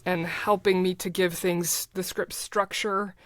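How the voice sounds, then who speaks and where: A middle-aged woman with a somewhat low voice talks casually as an interview guest. She explains things conversationally. The sound is dry and close, with little room in it. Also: level tone, unhurried, no room sound. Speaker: podcast guest, writer and producer.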